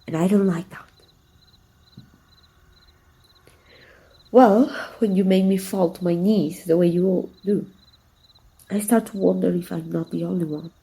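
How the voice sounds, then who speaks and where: Mellow, teasing villainess played by a woman. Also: lowish voice, steady tone, normal speed, close to an everyday voice, poor audio. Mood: confident, possessive. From Mexico. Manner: teasing, intimate, assured. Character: flirtatious, confident female villain secretly fond of her rival. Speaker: amateur voice actor. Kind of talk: ASMR roleplay, soft intimate acting.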